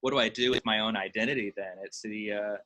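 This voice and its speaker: A man leads the conversation with probing questions, rephrasing them as he goes. His voice sits low, with a bright, forward edge to it. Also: fairly even intonation, fast talker, low-quality recording.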